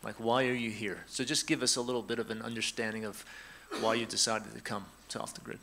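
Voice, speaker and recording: A middle-aged man, casually leading a panel in a church hall on a handheld mic, relaxed and joking. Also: on the low side, quick pace, vocal fry, a little hiss or hum. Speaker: team leader hosting a panel. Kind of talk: casual church talk to a congregation. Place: church hall with a seated audience. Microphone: handheld microphone.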